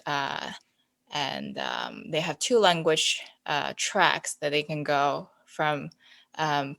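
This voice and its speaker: A woman's voice: deep voice, a bit bright. A woman in her twenties chatting casually as a podcast guest, informal and self-deprecating in manner. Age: 20s. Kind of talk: casual chat.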